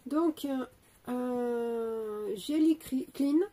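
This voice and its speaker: Unhurried woman with a stuffy cold. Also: a little high, mellow. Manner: chatty, hesitant, many fillers and restarts. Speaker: beauty vlogger unboxing an advent calendar. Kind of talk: casual unboxing commentary.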